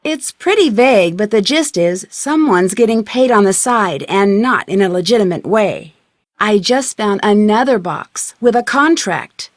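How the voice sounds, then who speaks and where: A woman playing a curious, confident young amateur detective in a video game, speaking in a clear, inquisitive, polite and self-assured way. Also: mid-range voice, lively intonation, measured pace, dry recording, studio quality, natural voice. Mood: curious, thoughtful.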